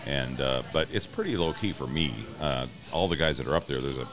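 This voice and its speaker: A man's voice: extremely low pitch, fairly quick, music in the background. A middle-aged man, a stadium announcer being interviewed, telling stories in a casual, easygoing, rambling and wry way. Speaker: stadium public address announcer, interview guest. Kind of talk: casual conversational storytelling.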